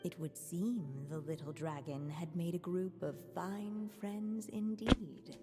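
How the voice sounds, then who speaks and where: A woman voicing a gentle storybook narrator in a video game, telling the tale softly. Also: fairly low pitch, long reverb tail, over loud music. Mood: storytelling. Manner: slow, soft storytelling. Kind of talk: scripted narration.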